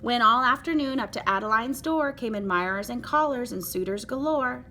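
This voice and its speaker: A woman reading a children's picture book aloud with an expressive, rhythmic delivery. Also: a little high, a little hiss or hum. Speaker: storybook reader.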